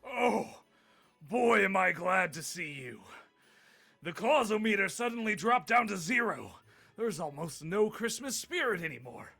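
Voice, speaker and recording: A man's voice: high voice, very animated, measured pace, gravelly, sharp tone, soft background music, exaggerated voice. A man playing a weary, anxious but kindly old Santa Claus in a live table read, delivered emphatically. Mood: strained, relieved.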